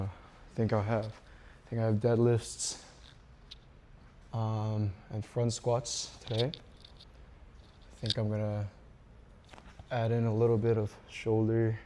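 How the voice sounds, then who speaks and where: A young man from South Korea, whose native language is Korean, talks to camera in a low-key, halting way. His voice is relaxed and fairly low, and he takes his time between phrases. It is captured on a small wireless mic with some background noise. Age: 20s. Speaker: beginner vlogger. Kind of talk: casual unscripted talk to camera. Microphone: small wireless clip-on mic.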